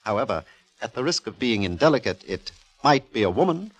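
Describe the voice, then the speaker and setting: An actor playing a worldly newspaper art critic with offhand dry wit in a 1950s radio drama. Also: male voice, medium pitch, very animated, a little fast, dry recording. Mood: wry, offhand.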